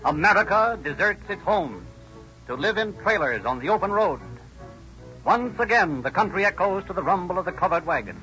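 A man's voice: high voice, expressive, normal speed, pushed and bright, muffled recording, soft background music. A man announcing and narrating from a script in a formal, declamatory 1930s radio style.

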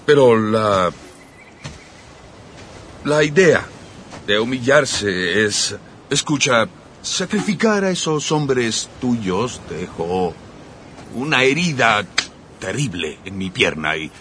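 An actor playing a proud, sardonic Spartan warrior king in a dubbed epic film, speaking in a measured, dryly defiant way. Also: mid-range voice, big pitch swings, fairly bright tone. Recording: noisy film soundtrack clip.

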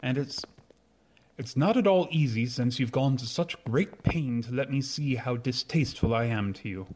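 An actor playing a proud, earnest gentleman suitor in a radio drama, speaking in a formal, measured manner. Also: medium pitch, lively intonation, lightly acted. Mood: hesitant, earnest.

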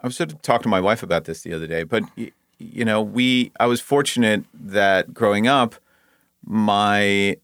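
Low gravelly guy from denmark, laid back, dry jokes, trails off a lot, very dry room. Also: moderate pace, a bit bright, no echo. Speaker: guest, network executive. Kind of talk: casual interview conversation.

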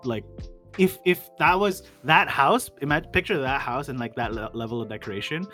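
A young man co-hosting a casual podcast chat, joking in an animated way. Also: medium pitch, moderate pace, faint music behind. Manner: animated, joking, laughs often. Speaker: podcast co-host.

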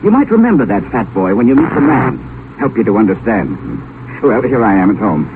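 An actor playing a genial, urbane older former scholar, reflective and courteous, in a 1950s radio drama. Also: male voice, mid-range voice, big pitch swings, close to an everyday voice. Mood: wry, reflective. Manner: reflective, courteous, hesitant pauses. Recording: narrow-band, noisy vintage radio broadcast.

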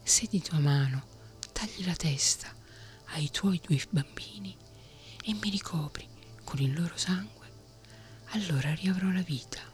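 A woman voicing a loyal servant turned to stone, speaking in a low whisper over faint music. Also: a little slow, long pauses, lightly acted. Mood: solemn.

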